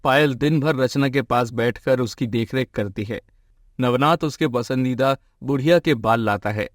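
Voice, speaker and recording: Casting: male narrator for moral tales, mid-pitched voice, scripted storytelling, very dry recording. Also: normal speed, dry recording.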